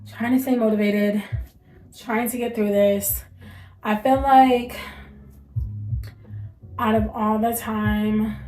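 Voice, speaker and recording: A woman's voice: fairly high pitch, darkish tone, reverberant, a little hiss or hum, rough recording. A young woman, a mother, talking candidly to camera in a casual chat, sounding stuffy and congested from allergies, with lots of fillers. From United States. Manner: chatty, candid, many fillers. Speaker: vlogger, mother.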